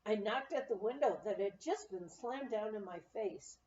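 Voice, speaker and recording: A woman narrating a memoir aloud in an expressive, storytelling manner. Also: medium pitch, moderate pace, distant mic, slight background noise. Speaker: audiobook reader. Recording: muffled, roomy, off-mic amateur recording.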